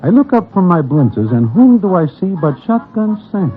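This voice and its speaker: A wry, mild Broadway regular of radio drama, speaking slang with odd formality. His voice is fairly high and swings widely, through narrow sound with music. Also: very animated, moderate pace. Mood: wry, storytelling.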